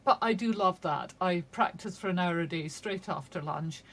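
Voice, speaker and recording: A woman's voice: lowish voice, normal speed, fairly bright tone. Older woman telling wry, playful stories. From Shetland. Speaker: guest, crime novelist. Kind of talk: casual interview chat.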